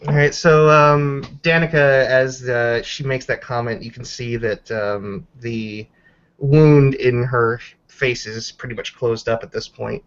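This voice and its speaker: A man narrating a tabletop roleplaying game as game master, halting and descriptive, calling out dice rolls. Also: mid-range voice, fairly even intonation, fairly bright tone, clean tone, dull audio.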